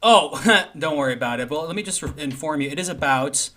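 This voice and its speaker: A man's voice: fairly high pitch, brisk, bright, forceful tone. A man co-hosting with casual, improvised comedy banter. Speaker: co-host and video operator. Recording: faint noise and clicks.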